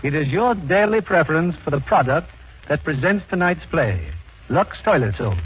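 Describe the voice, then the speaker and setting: A man announces as host in scripted 1940s radio style. His fairly high voice is very animated, a little husky and muffled by the old broadcast. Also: moderate pace.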